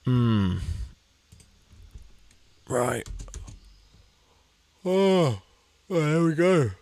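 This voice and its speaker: A man's voice: fairly high pitch, very animated, very slow, darkish tone, clean tone, no echo. A man giving casual, rambling commentary while he plays a game, muttering his reactions as he goes. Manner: thinks aloud, muttering reactions to the screen; rambling, with frequent restarts. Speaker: gaming video creator.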